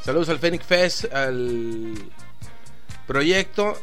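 A Mexican man hosting a live online radio show, rambling through shout-outs and jokes in a chatty, loose way. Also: mid-range voice, animated, slow pace. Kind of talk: casual live radio chat with greetings. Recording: faint music in the background.

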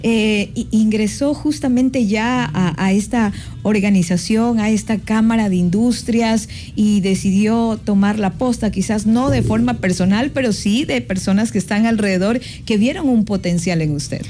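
A woman's voice: mid-range voice, normal speed, hardly any pauses, dark tone, slight background noise. A woman with an Ecuadorian accent hosting a local broadcast interview, effusive and celebratory. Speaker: interview host.

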